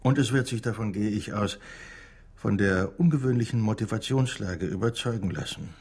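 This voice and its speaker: A man's voice: fairly low pitch, moderate pace, darkish tone, slightly rough. An actor playing a dry, sardonic male defence lawyer in a radio crime drama. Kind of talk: German radio drama, narrating and dialogue.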